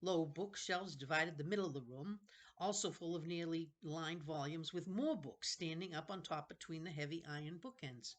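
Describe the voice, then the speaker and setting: A woman narrating a children's novel aloud, unhurried, sometimes going back over a phrase. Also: low voice. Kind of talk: storybook narration read aloud.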